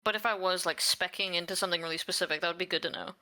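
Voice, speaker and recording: A woman's voice: lowish voice, fairly even intonation, rapid-fire, sharp tone. A woman chatting casually as a player putting together a tabletop game character. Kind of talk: casual chat.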